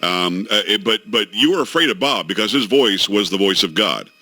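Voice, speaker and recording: A man's voice: fairly low pitch, normal speed, husky, no room sound. A middle-aged man hosting a radio talk show, telling jokey stories in casual banter with his co-hosts. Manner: animated storytelling, jokey.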